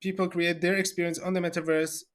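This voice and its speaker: A man from Syria, a guest expert in a video interview, talking in a halting way with many fillers and repeated words. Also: high-pitched, flat delivery, a bit bright.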